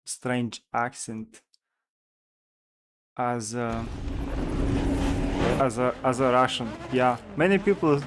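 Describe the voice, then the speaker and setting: A man's voice: mid-range voice, lively intonation, takes it slowly, pauses often, very noisy. A man from Europe streaming a racing game, chatting casually and searching for his words as he plays. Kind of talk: casual live-stream commentary while driving.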